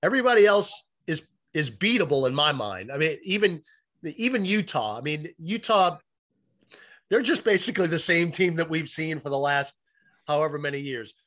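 A man's voice: a little high, animated, moderate pace, a bit bright. A man who works as a sports announcer, giving emphatic, opinionated conversational commentary on a podcast. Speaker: radio play-by-play announcer, podcast guest.

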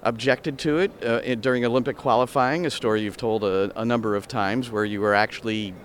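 A man's voice: medium pitch, steady tone. A man interviewing a coach, asking long questions with hesitant restarts. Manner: halting, searching questions with restarts.

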